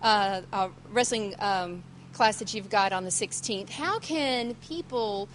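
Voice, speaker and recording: A woman's voice: mid-range voice, takes it slowly, fairly bright tone, slight background noise. A woman interviewing a guest for local TV, speaking casually with many fillers and restarts.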